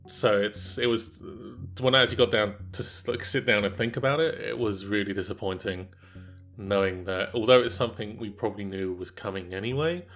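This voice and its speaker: A man's voice: fairly low pitch, fairly quick, a bit bright. Nasal young man with a cold. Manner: rambling, filler-heavy, disappointed. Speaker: gaming channel co-host. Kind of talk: casual gaming discussion. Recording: faint background music.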